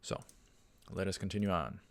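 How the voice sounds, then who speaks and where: A man's voice: low-pitched, lively intonation, normal speed, vocal fry. A man giving casual let's play commentary and reading aloud, rambling a bit and correcting himself in asides. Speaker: let's play host reading a visual novel.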